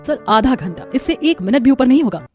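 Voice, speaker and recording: A woman playing a sharp-tongued, confident young daughter-in-law in a story, teasing and argumentative. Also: high voice, expressive, a little fast. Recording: music behind the voice.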